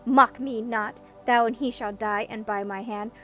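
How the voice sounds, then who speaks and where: A woman voicing a huge stern male djinn in a storybook, booming, with grand archaic, pompous speech. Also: steady tone, measured pace, bright, forceful tone, faint music behind. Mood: imperious.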